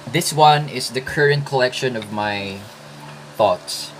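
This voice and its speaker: A man in his twenties from the Philippines, whose first language is Filipino, talking through writing tips in a casual vlog with thoughtful pauses and restarts. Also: medium pitch, slight background noise. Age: 20s. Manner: slow, thoughtful, with hesitant restarts. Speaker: writing vlogger.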